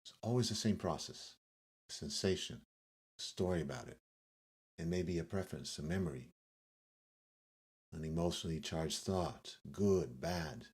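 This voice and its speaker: A man leading a guided meditation as the guide, speaking in measured short phrases with pauses between gentle instructions. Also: low-pitched, lively intonation, breathy edge. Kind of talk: guided yoga nidra meditation.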